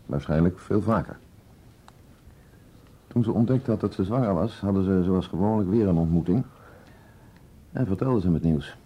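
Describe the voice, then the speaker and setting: A middle-aged man acting in a radio drama as a police commissioner, questioning in a measured, insistent, dry manner. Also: low-pitched, slightly rough. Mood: measured, probing. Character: male police commissioner, probing, composed, pressing interrogator. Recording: dull radio play recording.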